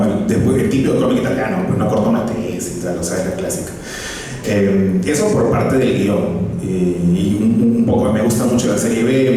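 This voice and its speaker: Man, comic writer on a panel, low mellow voice, hesitant, far-off echoey hall. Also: slight background noise. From Peru. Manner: hesitant, many fillers and restarts. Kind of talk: conference panel talk. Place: conference hall.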